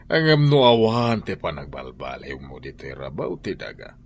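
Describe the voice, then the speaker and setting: A man's voice: rumbling low, sing-song, normal speed, a little hiss or hum, exaggerated voice. An actor with an Ilocano accent playing a stern wealthy husband who lectures his wife in a radio drama. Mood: preaching.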